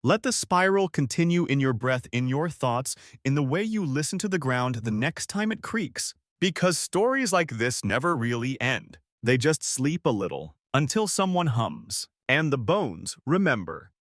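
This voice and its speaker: Expressive man telling a slow bedtime story. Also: medium pitch, no room sound, clean studio sound. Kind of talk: scripted second-person bedtime narration.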